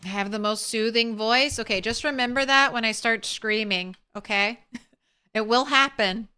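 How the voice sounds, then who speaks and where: A woman streamer chatting softly and casually with her viewers while playing a game, thanking them as she goes. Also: fairly high pitch, moderate pace, clean tone. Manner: soft, relaxed, thanks viewers. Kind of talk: casual live-stream chat while gaming.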